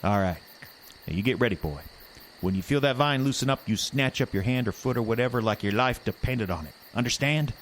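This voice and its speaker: Man playing a sly, gruff old-time hobo. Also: medium pitch, lively intonation, a little fast, slight background noise, natural voice. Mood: wheedling, bargaining. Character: gruff, sly, greedy hobo living among lake islands. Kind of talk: audio drama acting.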